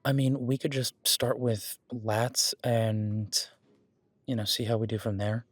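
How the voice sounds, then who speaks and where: A man's voice: medium pitch, little pitch movement, a little slow, no echo, natural voice. A man playing a friendly, easygoing werewolf boyfriend in a conversational audio roleplay, reassuring and rambling as he corrects himself. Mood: casual, instructive. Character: friendly male werewolf gym-goer, easygoing supportive boyfriend. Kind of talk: conversational one-sided roleplay acting.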